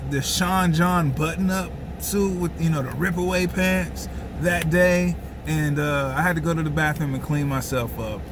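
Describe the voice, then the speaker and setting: Man, slightly high voice, casual comedic storyteller, slangy, rambling, repeats phrases, noisy ambient background. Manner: slangy, conversational asides. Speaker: storyteller and host.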